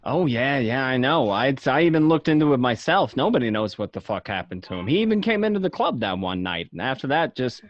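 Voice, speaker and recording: A man's voice: mid-range voice, very animated, brisk, hardly any pauses, lightly acted. A man acting a casual, streetwise gangster who narrates his own life in an amateur radio crime drama. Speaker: actor in an online radio play. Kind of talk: amateur script reading, first-person crime drama. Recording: dull lo-fi home connection.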